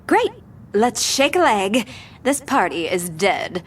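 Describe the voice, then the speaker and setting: A woman's voice: mid-range voice, sing-song, slow, slight background noise. An actress playing a confident, seductive female crime boss in a noir audio drama, with a cool, flirtatious, teasing manner. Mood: brisk, cool.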